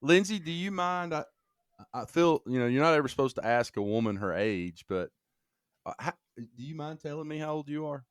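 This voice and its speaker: A man's voice: fairly high pitch, clean tone, dry recording, clean studio sound. A man from Alabama, a podcast guest talking casually, often restarting his sentences and telling stories with energy. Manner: halting, with many restarts and fillers; lively storytelling. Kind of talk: casual conversation.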